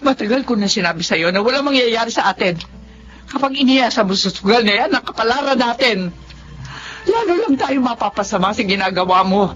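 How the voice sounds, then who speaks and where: A woman's voice: a little high, expressive, fairly quick, a bit bright. A long-suffering middle-aged wife and mother in a Filipino radio drama. She is earnest, firm and reproachful. The recording is dull and lo-fi. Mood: angry, fed up.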